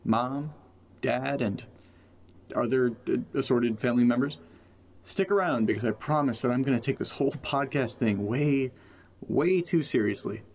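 A man's voice: medium pitch, moderate pace, darkish tone, close to an everyday voice. An actor playing a rambling, self-deprecating young man recording a casual, joking audio diary. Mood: wry, upbeat. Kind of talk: found-footage audio drama, casual podcast monologue.

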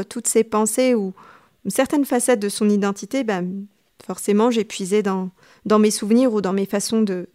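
A woman's voice: medium pitch, darkish tone. Thoughtful woman novelist searching for words. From Tunisia. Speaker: novelist guest. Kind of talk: radio interview answers.

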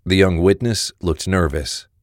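A male narrator tells a scripted crime story. His voice is very low, and the recording is close and free of echo. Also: a little slow.

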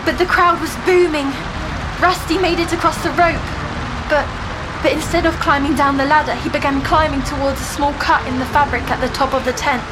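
A woman's voice: high voice, fairly even intonation, brisk, close to an everyday voice, rough recording. An actress playing a frightened woman patient recounting a nightmare in intense, dramatic horror narration. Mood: uneasy, hushed. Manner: intense, breathless storytelling that builds into panic. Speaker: actor. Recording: loud music under the voice.

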